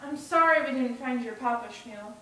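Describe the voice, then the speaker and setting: A naive young boy played by a woman speaks in a high, emphatic voice. He is earnest and impatient, in a dramatic storytelling piece. The sound is very echoey and distant from the mic. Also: normal speed, fairly bright tone. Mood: apologetic, earnest. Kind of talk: dramatic storytelling for speech competition. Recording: echoey room, distant mic, faint noise.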